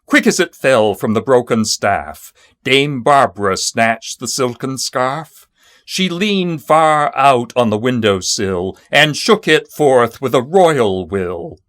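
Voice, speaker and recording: A man reciting narrative poetry in a measured, solemn and dramatic manner. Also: mid-range voice, expressive, fairly bright tone, no room sound. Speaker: poetry reader.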